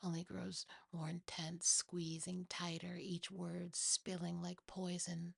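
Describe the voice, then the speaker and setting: A woman narrating a dramatic first-person horror story in a hushed ASMR style, often close to whispering. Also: on the low side, completely flat delivery, slow pace, creaky, husky, no echo.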